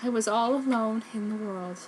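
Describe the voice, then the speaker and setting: A woman's voice: a little high, moderate pace, noisy background. A woman reading fan fiction aloud as narrator, with a theatrical, mock-serious melodramatic delivery. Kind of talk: dramatic comedic story reading.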